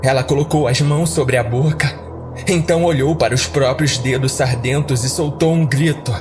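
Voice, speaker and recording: A man's voice: mid-range voice, moderate pace. A Brazilian man giving dramatic first-person narration of a horror story as a podcast narrator. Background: music.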